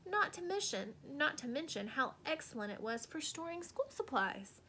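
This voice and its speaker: High, very animated woman reading aloud. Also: slight background noise. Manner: deliberate, dramatic emphasis and repetition. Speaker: storybook reader. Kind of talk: expressive children's read-aloud.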